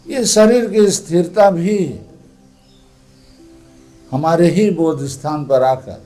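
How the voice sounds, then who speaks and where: A man leads a guided meditation as a teacher, speaking in a calm, deliberate, instructive manner. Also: fairly high pitch, soft background music.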